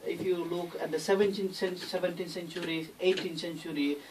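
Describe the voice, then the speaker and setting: Halting man from Myanmar, slightly distant mic. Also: sits high, steady tone, a little mellow. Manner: non-native English phrasing, earnest. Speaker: interview guest, founder of a charity. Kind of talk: halting explanatory interview talk. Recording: distant mic, faint background noise.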